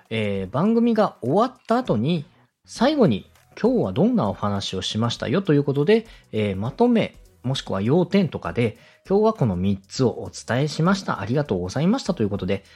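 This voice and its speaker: A man's voice: medium pitch, huge pitch swings, fairly quick, darkish tone, slightly rough. A man hosting a show, politely giving step-by-step advice on presenting and slipping in example phrases. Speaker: radio director and podcast host giving advice.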